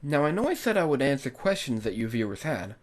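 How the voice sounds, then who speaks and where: A man's voice: mid-range voice, sing-song, quick pace. A man narrating a scripted tutorial as its host, instructing the viewer directly and with emphasis. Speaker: host of a tutorial on making abridged series.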